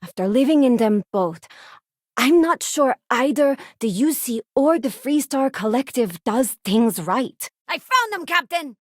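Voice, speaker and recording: An actress voicing an earnest, idealistic former deputy turned starship crew member in a video game, sincere and plain-spoken. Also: female voice, high voice, very animated, measured pace, no echo, studio quality, heavily acted. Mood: urgent, combat alert. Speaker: video game companion character. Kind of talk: acted video game voice lines.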